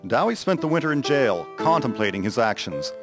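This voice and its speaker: A man's voice: mid-range voice, sing-song, brisk. A man narrating a dramatized history from a script, with plucked guitar behind him. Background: plucked guitar music.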